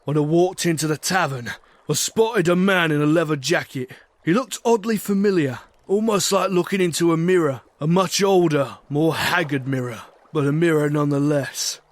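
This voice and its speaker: A man as a high-pitched pirate captain storyteller. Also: moderate pace, dry recording, close to an everyday voice. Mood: reflective storytelling. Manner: salty pirate talk, theatrical. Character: swashbuckling bearded pirate captain recounting his sea tales. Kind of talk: dramatic scripted storytelling with voice acting.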